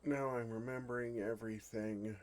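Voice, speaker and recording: A man playing a bashful, lovestruck male dragon in human form for an ASMR fantasy roleplay, speaking in a halting, earnest way. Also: mid-range voice, steady tone, a little hiss or hum, lightly acted. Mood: tender, wistful. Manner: flustered, halting, earnest delivery.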